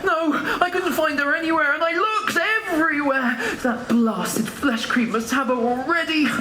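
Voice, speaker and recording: A woman's voice: sits high, normal speed, noisy background, natural voice. A woman playing an earnest, self-important young male hero in a stage pantomime, declaiming emphatically. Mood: urgent, heroic. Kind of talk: stage pantomime principal boy. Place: theatre stage. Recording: echoey theatre stage, loud music.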